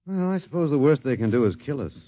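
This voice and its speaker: An actor playing a bookish captive anthropologist, dry, flippant and resigned, in 1950s radio drama style. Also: male voice, mid-range voice, sing-song, fast, mellow, slight background noise, lightly acted. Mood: resigned, mild. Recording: dull, band-limited 1950s radio recording.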